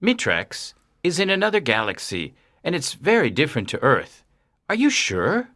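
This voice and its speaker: A woman voicing a male alien who poses as a schoolteacher, speaking in a deep, measured, stern way. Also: huge pitch swings, fairly bright tone, exaggerated voice. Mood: calm, explaining.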